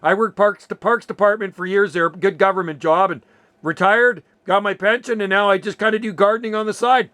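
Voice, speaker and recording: A man in his early sixties with a notably high voice, recorded dry and close. He chats like a radio operator, friendly and loose, dropping in casual slang. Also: steady tone, fairly quick, sharp tone, dry recording. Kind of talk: casual two-way radio chat. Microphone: desk radio microphone, close.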